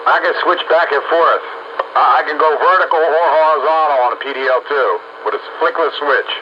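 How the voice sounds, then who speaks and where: Guy on radio, slightly high voice, stammers and repeats himself, muffled with static. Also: brisk. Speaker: amateur radio operator. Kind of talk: casual radio chat. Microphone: radio transmission.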